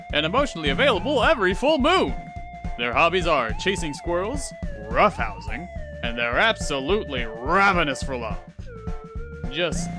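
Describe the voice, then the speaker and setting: A man playing a showy, teasing monster dating-show host in a game, announcing theatrically and playfully. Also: fairly high pitch. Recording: music clearly behind the voice.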